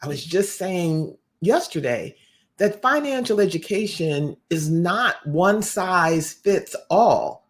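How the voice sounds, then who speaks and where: Measured woman interviewer, careful phrasing. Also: on the low side, animated. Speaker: interviewer and host. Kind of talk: reflective interview questioning.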